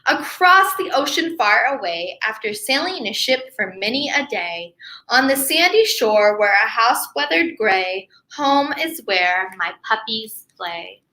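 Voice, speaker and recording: A friendly mother sled dog from a storybook, as a woman puts her on, speaking lower than the narration in an easygoing, reassuring way. The pacing is unhurried. The room adds echo. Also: big pitch swings, bright, forceful tone, full character voice.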